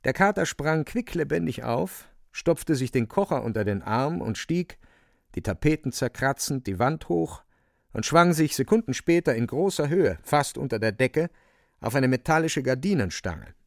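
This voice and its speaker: A man narrating a literary radio drama in a measured, precise storytelling manner, close on a studio microphone. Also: medium pitch, lively intonation, vocal fry, dry recording.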